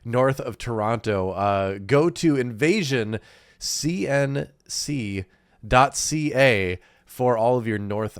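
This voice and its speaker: A man's voice: medium pitch, no echo. Man with a head cold, nasal, stuffy, chatty, rambling, very dry close sound. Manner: rambling with many fillers and laughs. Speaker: podcast co-host. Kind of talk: casual chat.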